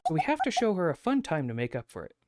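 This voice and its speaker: A woman voicing a gentle, earnest young ghost girl who acts mature, in a visual novel read-aloud. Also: low voice, huge pitch swings, fast talker. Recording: loud background game music.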